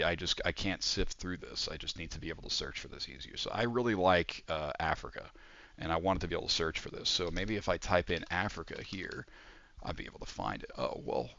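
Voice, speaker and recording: A man giving an informal software demo as a student presenter, with a somewhat hesitant, halting delivery. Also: low voice, animated. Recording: muffled, echoey room recording.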